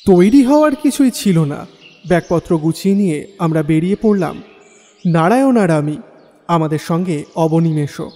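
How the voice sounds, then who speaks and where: A man acts a troubled young man telling his eerie tale in the first person. His voice is a little high and mellow, delivered dramatically in a long reverb over a faint music bed. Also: animated, moderate pace, natural voice. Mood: suspenseful recounting. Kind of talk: dramatic first-person horror story narration.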